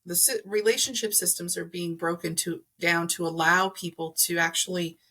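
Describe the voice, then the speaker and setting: Slightly husky woman explaining conversationally. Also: on the low side, fairly even intonation, normal speed, bright, forceful tone. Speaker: podcast guest, energy healer. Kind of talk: conversational explaining of crystals and chakras.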